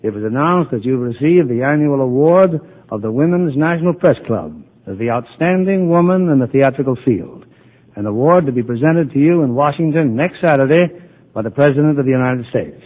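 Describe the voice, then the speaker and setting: A man hosting a 1940s radio show in a smooth, polished announcer style. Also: medium pitch, lively intonation, brisk. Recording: tinny 1940s radio broadcast.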